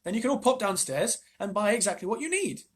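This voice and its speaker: A man's voice: high-pitched, very animated, fast, slightly rough, pitched above the performer's own voice, heavily acted. Man reading as a clever young boy. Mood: eager.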